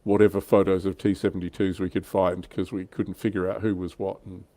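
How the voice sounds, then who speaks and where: A man's voice: low voice, level tone, fairly quick, mellow, dry recording. A middle-aged man, hosting a casual livestream chat about tabletop wargaming. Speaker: livestream host.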